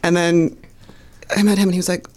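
A woman guest with a slightly deep voice, chatting casually and telling self-mocking stories with lots of animation. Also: level tone, a little fast, darkish tone. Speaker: comedian guest. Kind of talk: casual chat.

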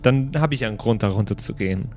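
A man's voice: on the low side, fast talker, mellow, faint music behind. A man playing a tabletop role-playing game, improvising in character and debating in a hesitant, argumentative way.

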